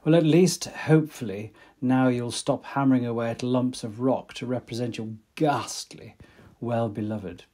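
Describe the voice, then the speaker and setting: A man playing a wry, long-suffering gentleman artist, drily teasing, in a period audio drama. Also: medium pitch, normal speed, natural voice. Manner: dry, teasing.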